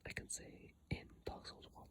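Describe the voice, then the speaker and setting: A person whispering in an ASMR style, breathy and airy, reading aloud with informal, halting, unhurried asides into a phone microphone up close. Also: a bit bright. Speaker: ASMR content creator. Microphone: iPhone microphone, close up.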